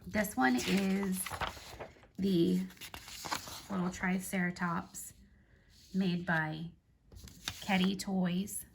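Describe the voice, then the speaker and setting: A woman with a drawl talks through crochet pattern terms in an unhurried, casual way. Her voice is hoarse, stuffy and gravelly from allergies, pitched a little low. She spells out letters one at a time before explaining them. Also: fairly even intonation, slight background noise. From North Carolina, United States. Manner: slow, careful spelling out of abbreviations. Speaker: crochet teacher. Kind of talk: casual tutorial.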